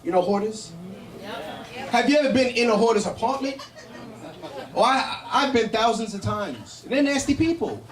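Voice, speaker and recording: High-pitched New York comic, echoey and distant. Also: male voice, very animated, normal speed, long pauses. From Brooklyn, New York, United States. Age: middle-aged. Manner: brash, profane, conversational. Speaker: stand-up comedian. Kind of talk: live stand-up comedy with crowd banter. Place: indoor comedy show in a cafe. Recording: echoey live room, miked from a distance.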